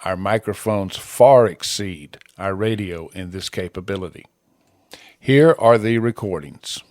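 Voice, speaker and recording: A man with a fairly low voice presents a tutorial in a plain, measured way. He speaks in short, clear statements close to a podcast microphone. The sound is quite dry, with little room around it. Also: darkish tone, no room sound. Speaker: presenter of an amateur radio tutorial. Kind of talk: scripted tutorial narration. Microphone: close broadcast podcast microphone.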